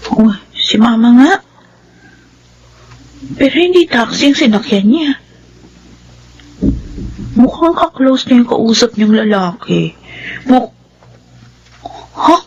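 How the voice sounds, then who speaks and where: Young daughter, Filipino accent, resentful, emphatic, high and lively voice, radio drama. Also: female voice, takes it slowly, long pauses, lo-fi sound, slight background noise. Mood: suspicious. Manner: emotional, emphatic. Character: resentful young adult daughter, hot-tempered and protective.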